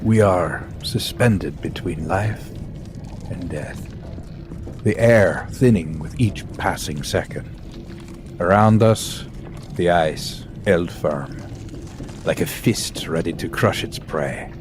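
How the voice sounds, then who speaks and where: Slow, solemn professor. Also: male voice, low voice, background noise. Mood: tense, grave. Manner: measured, solemn, literary delivery. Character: grave, thoughtful professor narrating his undersea ordeal. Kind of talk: dramatic audio drama narration and dialogue. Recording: sea and vessel ambience behind.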